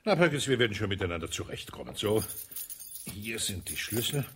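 An actor playing a blustery, middle-aged colonel and doting husband in a German radio drama, with a husky voice. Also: male voice, fairly low pitch, big pitch swings, a little fast, fairly bright tone, exaggerated voice. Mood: brisk, stern.

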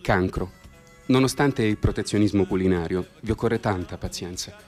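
A man's voice: lowish voice, normal speed, darkish tone, close to an everyday voice. Man playing a pompous army radio announcer over music. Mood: brisk bulletin. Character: mock-solemn male announcer of a satirical army radio programme. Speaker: radio presenter. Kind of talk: scripted satirical radio drama announcing.

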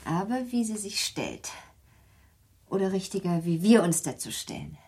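An actress playing a curious, sensitive young aristocratic bride in a German radio play, with a wondering, softly expressive and at times breathy delivery. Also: female voice, lowish voice, moderate pace. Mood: playful, thoughtful.

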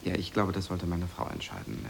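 Deep-voiced, awkwardly polite husband. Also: male voice, very animated, fairly quick, creaky, slightly rough, exaggerated voice. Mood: hesitant, explaining. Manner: hesitant, stammering, courteous. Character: polite, awkward American husband, eager to please. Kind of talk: radio drama dialogue.

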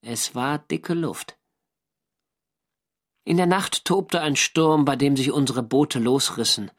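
An actor playing a German navy skipper who narrates his First World War diary in a measured, dry, literary way for a radio drama. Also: medium pitch. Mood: matter-of-fact.

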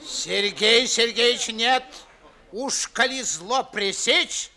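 An actor playing a fussy, quarrelsome older Moscow gentleman in a 1950s radio verse comedy, theatrical and pompous. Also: extremely high pitch, slow, exaggerated voice. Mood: pompously argumentative.

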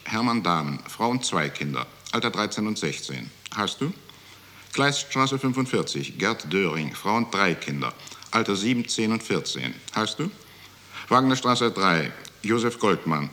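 Casting: a mocking, cold-blooded clerk in a 1960s radio play; slow, forceful, clipped instructions. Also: male voice, fairly low pitch, heavily acted. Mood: businesslike, callous. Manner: matter-of-fact, condescending, clipped instructions. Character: cold, mocking male bureaucrat of a deportation office. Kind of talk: 1960s German radio drama acting. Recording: 1960s radio studio recording.

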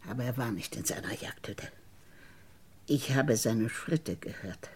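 An actress playing a bitter, wronged convicted woman in a radio crime drama, deliberate and insinuating, with a husky low voice. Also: darkish tone, full character voice. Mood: insinuating, resentful. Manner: deliberate, halting, insinuating, dropping into whispers. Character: bitter, wronged woman once convicted of murdering her sister.

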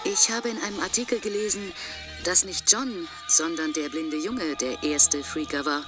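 Actress doing radio play narrator woman, slightly low voice, music behind her. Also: steady tone, moderate pace, lightly acted. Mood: matter-of-fact. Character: woman questioning a story she read. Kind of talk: scripted radio drama narration.

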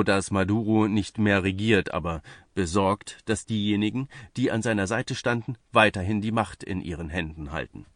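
A man's voice: lowish voice, fairly bright tone, dry recording, studio quality. A man reading a scripted piece of political journalism aloud as narration. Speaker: reader of a translated news article.

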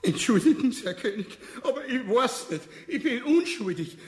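A snivelling officer in a farcical radio play. His high, whimpering voice echoes through a large hall, grovelling and boozy. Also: big pitch swings, moderate pace, faint music behind. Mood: grovelling, tearful. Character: snivelling, obsequious, drunken male officer. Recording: long reverberant hall.

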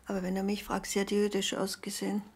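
An actress playing an agitated, suspicious middle-aged mother in a radio drama, with a raspy voice and a defensive, stubborn manner. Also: mid-range voice, monotone, fast talker. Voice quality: raspy, creaky, breathy. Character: agitated, suspicious mother at her wits' end.

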